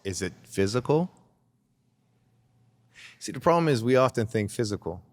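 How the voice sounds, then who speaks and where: A man's voice: medium pitch, big pitch swings, normal speed, long reverb tail. A man talking as a guest in a casual interview, rambling and repeating words as he searches for his thoughts. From Canada.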